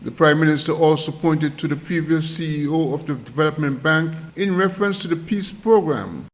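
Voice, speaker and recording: A man working as a news reporter, with a voice pitched a little high. He reads a prepared script as formal narration for a news report. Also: moderate pace.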